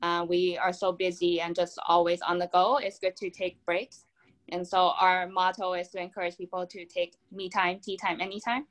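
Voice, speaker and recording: Young woman brand founder on a video call, lowish voice, upbeat and explanatory. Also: little pitch movement, normal speed. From Taiwan. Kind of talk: video call panel answer. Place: home room.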